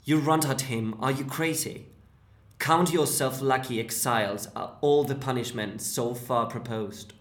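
A man's voice: mid-range voice, unhurried, close to an everyday voice. Jason, a Spanish-accented man reading an exasperated scolding husband. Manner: reproachful, lecturing. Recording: roomy echo.

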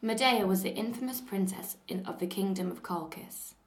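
Young Lancashire woman, echoey room. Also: mid-range voice. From northern England. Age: young adult. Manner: clear, measured reading; relaxed and chatty when talking freely. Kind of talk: reading a passage, then casual talk. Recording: roomy echo.

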